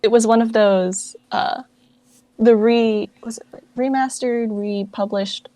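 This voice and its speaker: A woman's voice: fairly high pitch, fairly even intonation, slow, warm tone, no echo. A young woman speaking as a guest in a casual interview, informal and self-deprecating in the way she talks. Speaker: interview guest, project founder and voice actress.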